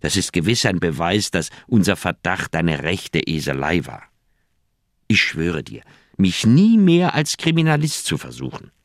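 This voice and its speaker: An actor playing an earnest, insistent middle-aged doctor in a radio crime drama, speaking in an emphatic, persuasive way. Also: male voice, low voice, sing-song, measured pace, gravelly, no echo, studio quality, full character voice. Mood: insistent, persuading.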